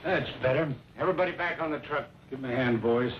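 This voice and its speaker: An actor playing a cold, greedy American smuggling boss in an old western radio drama, curt and bossy. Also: male voice, medium pitch, fast talker, close to an everyday voice. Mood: menacing, commanding. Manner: curt, bossy, hard-boiled slangy talk. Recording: muffled, very noisy old radio recording.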